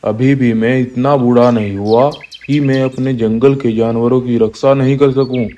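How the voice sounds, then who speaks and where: Man as flat-toned old dinosaur king. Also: mid-range voice, normal speed, slight background noise, close to an everyday voice. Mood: indignant, resolute. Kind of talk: animated Hindi animal story dialogue.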